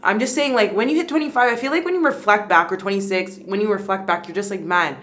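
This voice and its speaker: A woman's voice: mid-range voice, quick pace, fairly bright tone, soft background music. Chatty woman in her late twenties. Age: 20s. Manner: chatty, rambling, many "like" fillers. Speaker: beauty vlogger. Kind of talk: casual chat while doing skincare.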